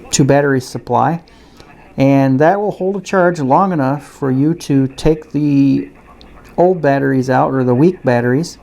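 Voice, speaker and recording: A man giving a casual, unscripted product review and demonstration in a halting, hesitant way. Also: mid-range voice, warm tone. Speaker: gadget reviewer.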